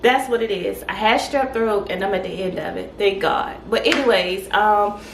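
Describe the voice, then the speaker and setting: A woman hosting a casual home cooking vlog, her voice stuffy and congested, chatting in an unhurried way with lots of fillers. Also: medium pitch, creaky, noisy background. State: stuffy, congested voice after a sore throat. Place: home kitchen.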